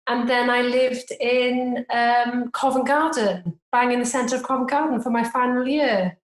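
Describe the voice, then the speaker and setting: A woman's voice: a little high, fairly even intonation, unhurried. A Welsh woman in her forties, a native Welsh speaker from Cardiff, chatting casually as a podcast guest in a self-deprecating way with lots of fillers. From Cardiff, Wales. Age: 40s. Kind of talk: casual interview chat. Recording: roomy echo.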